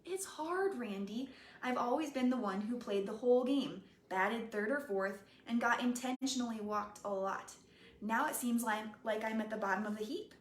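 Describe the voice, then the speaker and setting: A woman's voice: on the high side, moderate pace, lightly acted. A woman reading a teasing teenage girl. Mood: discouraged. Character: spirited, caring teenage girlfriend. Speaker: reader. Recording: roomy echoey room.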